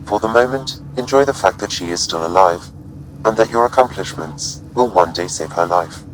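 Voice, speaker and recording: A man plays a loyal, logical artificial intelligence in a sci-fi video game. The voice is deep, measured and formal, every phrase precise and calm. Faint noise sits in the background. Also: natural voice, poor audio. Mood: measured, cautioning. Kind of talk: scripted game dialogue.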